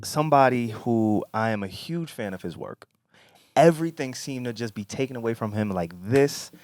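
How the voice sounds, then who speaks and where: A man's voice: mid-range voice, animated, normal speed, a little mellow, dry recording, clean studio sound. A man co-hosting a podcast in casual conversation, with slangy, emphatic, swearing speech. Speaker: podcast co-host.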